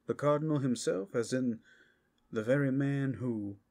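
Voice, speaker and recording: A man's voice: medium pitch, a little slow, a little mellow. A man playing a wry, chatty werewolf in a fantasy ASMR roleplay, casual and theatrical in his delivery. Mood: wary explaining.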